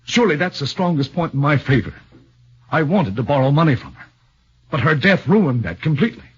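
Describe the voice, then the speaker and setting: A nervous young man in his thirties protesting his innocence, stammering and earnest, his voice swinging widely with emotion. The husky tone and dull lo-fi sound of a 1940s radio broadcast mark it as vintage. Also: a little high, very animated, a little fast, heavily acted. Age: 30s. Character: anxious young man accused of murder. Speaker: radio drama actor.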